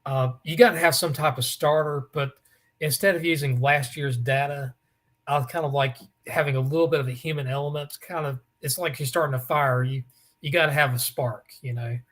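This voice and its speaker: A man with a raspy voice, co-hosting a casual sports talk show and telling stories with lots of restarts and fillers. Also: fairly high pitch, steady tone, brisk, fairly bright tone. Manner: rambling storyteller, many restarts and fillers. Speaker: co-host.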